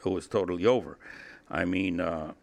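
Middle-aged man, low pitch, gravelly fry, casual storytelling, re-enacted dialogue. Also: normal speed, darkish tone. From Cuba. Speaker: interview guest, former drug trafficker. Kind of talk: casual first-person storytelling.

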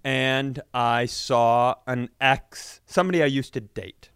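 A man with a middle-pitched, clean and smooth voice hosts a comedy podcast. He tells casual, joking stories with animated profanity, recorded dry with little room sound. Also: slow pace, dry recording.

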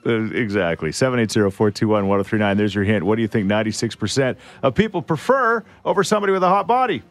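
A man's voice: on the low side, expressive, fast. A man hosting a morning radio show, joking with co-hosts and taking callers. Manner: jokey, laughs often, takes callers.

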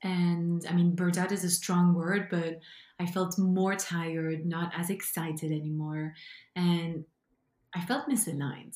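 A woman's voice: lowish voice, warm tone. Belgian French woman, echoey sound. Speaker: guest. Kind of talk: storytelling interview.

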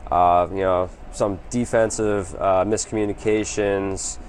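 A man giving conversational game analysis as a sports co-host, hesitant and measured, leaning often on fillers. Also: fairly low pitch, fairly even intonation, a little mellow, slight background noise, low-quality recording.

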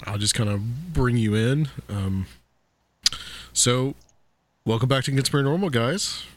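A man hosting a casual interview podcast, talking haltingly with many fillers and restarts. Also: mid-range voice, animated, no echo. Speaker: podcast host.

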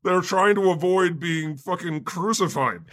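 A man's voice: sits high, measured pace, fairly bright tone, dry recording, clean studio sound. A man playing God on a comedy podcast, talking brashly and with lots of swearing. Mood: mocking. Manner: profane, brash, laughing. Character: God, boastful crude male deity. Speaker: podcast co-host. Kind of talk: improvised comedy podcast banter.

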